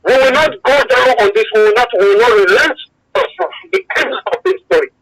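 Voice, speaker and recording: Animated Nigerian man with a high voice. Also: fairly quick, a little hiss or hum. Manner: emphatic, repeats phrases. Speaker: phone-in caller, broadcast journalist. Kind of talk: impassioned opinion on a phone-in. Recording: phone line into radio broadcast.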